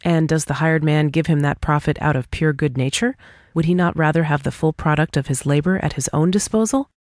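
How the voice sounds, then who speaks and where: A woman reading the part of a confident, pointed individualist debater in an audiobook dialogue, articulate and deliberate. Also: low voice, a little mellow, dry recording, studio quality, close to an everyday voice. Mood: pointed, explanatory. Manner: articulate, deliberate, rhetorical questioning.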